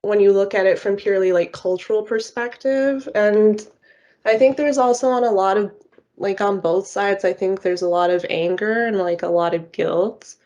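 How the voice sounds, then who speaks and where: A woman's voice: medium pitch, normal speed, darkish tone, highs cut off. A young woman from the United States, a podcast guest, talking thoughtfully with many "like" and "you know" hedges. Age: young adult. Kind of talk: casual podcast discussion.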